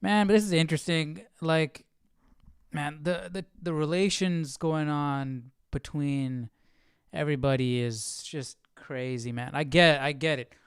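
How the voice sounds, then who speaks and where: Male livestream Bible study host, smooth mid-range voice, informal and musing, dry close sound. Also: a little high, unhurried, no room sound. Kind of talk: casual live-stream chat.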